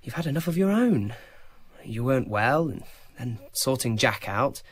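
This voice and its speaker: A proud, hesitant young farmer. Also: male voice, mid-range voice, big pitch swings, moderate pace, slightly rough. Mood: reluctant. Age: young adult. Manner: hesitant, reluctant to admit trouble. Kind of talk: radio drama acting.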